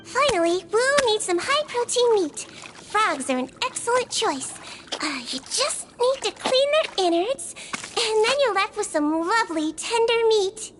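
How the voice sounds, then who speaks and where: A woman playing a small, gentle and earnest girlish nurse in a fantasy video game. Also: very high-pitched, animated, measured pace, noisy background. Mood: cheerful, instructive. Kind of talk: scripted video game character dialogue. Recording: game music behind the voice.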